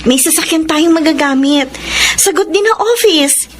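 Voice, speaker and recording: An actress playing an earnest, devoted daughter in a Filipino radio melodrama. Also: female voice, high voice, lively intonation, moderate pace, slight background noise.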